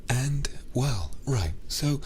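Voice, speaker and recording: A man playing a menacing, amused demon interrogator in an intimate ASMR roleplay, speaking slowly and deliberately with teasing pauses. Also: mid-range voice, expressive, a little mellow, a little hiss or hum.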